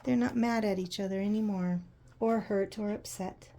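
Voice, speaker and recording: A woman narrating a picture book to children in an expressive, conversational way, using simple explanations and checking in with questions. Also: mid-range voice, normal speed. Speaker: children's read-aloud host.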